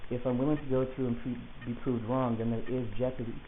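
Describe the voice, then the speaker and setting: Through a tinny, phone-like recording comes a man acting a male defendant in an audio drama. He talks quickly and argues in an insistent, pedantic way, methodical and stubborn, with faint hiss behind him. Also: mid-range voice, level tone, close to an everyday voice. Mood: earnest. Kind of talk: argumentative dialogue in an audio play.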